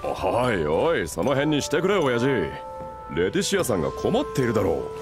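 An actor playing a steady young man in a game, speaking calmly and matter-of-factly. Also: mid-range voice, moderate pace. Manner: calm, matter-of-fact. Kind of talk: Japanese game dub acting. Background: game music.

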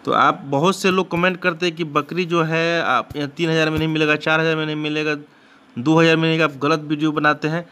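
A man's voice: fairly high pitch, flat delivery, quick pace, pushed and bright, clear, resonant tone. A man, hosting his own channel and casually narrating footage from his goat shed in a rambling, repetitive way with frequent restarts. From Bihar, India. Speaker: goat farmer and channel host.

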